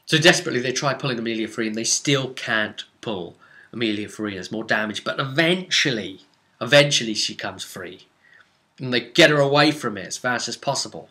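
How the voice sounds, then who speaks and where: A man with a bright, forceful voice tells the story of a tabletop game session as its game master. His delivery is excitable, and he hammers points home by repeating short phrases. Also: mid-range voice, a little fast. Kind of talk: animated session recap storytelling.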